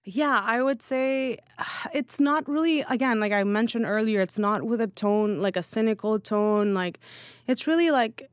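A woman's voice: fairly high pitch, a little slow, smooth, no echo, studio quality. A woman in her thirties chatting informally and emphatically as an interview guest, peppering her sentences with "like". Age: 30s. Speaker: newsletter founder, podcast guest. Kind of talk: casual, animated interview chat. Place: studio.

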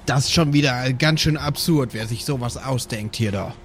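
A man's voice: medium pitch, normal speed, faint music behind. A man improvising casual banter as a player in a role-playing podcast.

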